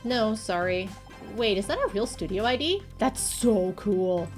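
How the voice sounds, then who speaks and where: A nerdy young man acted out by a woman from a visual novel game, pompous about his obsessions and quick to get defensive. He is nervous and fussy, stammering as he goes, with music playing behind him. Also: medium pitch, animated, lightly acted. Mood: sheepish, excited.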